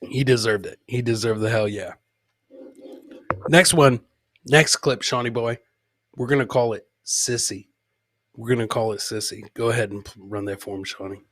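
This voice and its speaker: A man's voice: mid-range voice, moderate pace, long pauses, a bit bright. A man hosting a comedy podcast, commenting casually on funny clips and repeating his phrases for comic effect.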